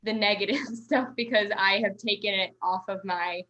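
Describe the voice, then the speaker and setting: A woman's voice: medium pitch, normal speed, bright, forceful tone, poor audio. A woman in her twenties chatting casually as a guest, rambling and restarting her sentences. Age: 20s. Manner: rambling, talkative, many restarts and "like". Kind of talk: casual chat.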